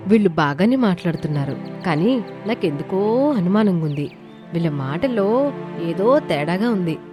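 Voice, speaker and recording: A woman's voice: lowish voice, animated, normal speed, close to an everyday voice. A woman voicing a kindly but wary village housewife in a cartoon moral story, homely and earnest. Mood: suspicious. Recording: music under the voice.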